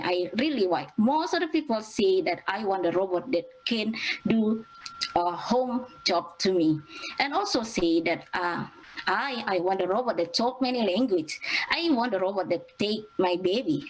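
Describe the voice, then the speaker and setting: A young woman from Indonesia with an Indonesian accent, presenting at an academic conference in a halting way, with many fillers and restarts. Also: mid-range voice, poor audio. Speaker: student presenter.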